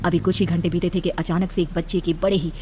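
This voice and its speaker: A woman's voice: lowish voice, flat delivery, quick pace, warm tone, slight background noise. A woman narrating a scripted horror cartoon story in a dramatic storytelling style.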